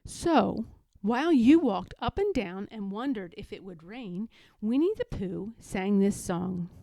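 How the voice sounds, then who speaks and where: A woman's voice: medium pitch, expressive, a little slow, vocal fry, dark tone, no room sound, poor audio. A woman reading a children's story aloud as a scripted narration. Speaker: storybook reader.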